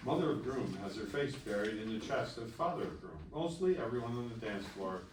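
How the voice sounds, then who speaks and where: A man's voice: medium pitch, expressive, brisk. A man reading stage directions as scripted narration at a screenplay table read. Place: festival table read in a room. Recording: echoey room, distant mic, faint noise.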